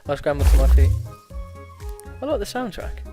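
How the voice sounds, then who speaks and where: A man's voice: fairly high pitch, very animated, moderate pace, music in the background. A man hosting a let's play, reading a visual novel aloud with stumbles, self-corrections and laughs.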